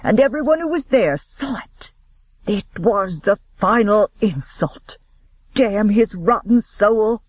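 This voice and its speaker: A proud young nobleman in a bitter fury, as a man acts him out. He snaps his words with a wide, lively pitch, in a dry and slightly dull recording. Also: a little slow, husky, no echo, heavily acted. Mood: furious. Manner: snapping, bitter.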